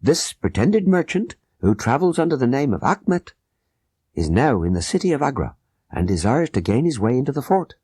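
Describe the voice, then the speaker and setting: Male narrator as grave Sikh guard in an audiobook; formal, urgent, husky, with wide swoops. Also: medium pitch, sing-song, moderate pace, a little mellow, dry recording, clean studio sound, pitched above the performer's own voice. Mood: solemn persuasion. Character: grave conspiring Sikh guard, firm and persuasive.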